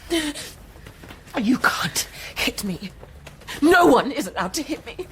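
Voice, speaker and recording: A spoiled, bewildered young woman in a radio play. Her voice is very high and swings widely, frightened yet indignant, over clear background noise. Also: big pitch swings, slow, fairly bright tone, exaggerated voice. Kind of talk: theatrical radio drama acting.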